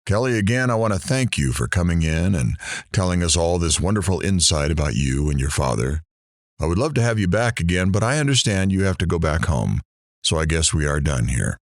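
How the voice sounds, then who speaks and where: A man's voice: deep voice, sing-song, brisk, dry recording. A man playing a kindly, courteous studio host in an audio drama, speaking encouragingly. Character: kindly, appreciative male studio show host. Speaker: show host. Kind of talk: audio drama acting as a presenter.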